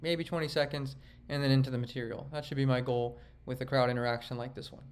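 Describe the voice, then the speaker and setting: A man's voice: medium pitch, level tone, fast. Self-critical man reviewing his comedy set. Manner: self-critical and rambling, with frequent restarts. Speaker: comedian and vlogger. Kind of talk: reflective commentary reviewing his own set.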